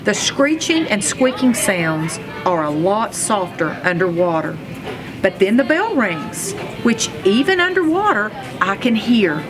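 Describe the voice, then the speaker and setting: A woman reading a children's storybook aloud in an expressive, animated storytelling manner, telling it as a first-person narrator. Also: mid-range voice, a little slow, music in the background. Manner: animated storytelling with acted sound effects.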